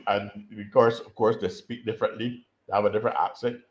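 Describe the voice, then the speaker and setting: Man, low voice, explaining. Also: flat delivery. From Barbados. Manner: measured, explanatory, repeats words while thinking. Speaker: invited speaker, political scientist. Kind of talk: conference talk over video call. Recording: dull lo-fi call audio.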